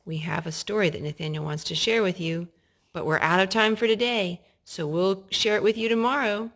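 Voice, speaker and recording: A woman's voice: medium pitch, a little fast, fairly bright tone. A woman hosting a storytime, reading a children's picture book aloud and explaining it to a young child. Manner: instructive, addressing a young child.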